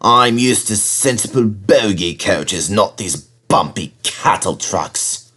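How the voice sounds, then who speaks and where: A man voicing a conceited, sulky steam engine in a storybook, with haughty, drawn-out complaining. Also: fairly low pitch, animated, pushed and bright, breathy edge, exaggerated voice. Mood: indignant.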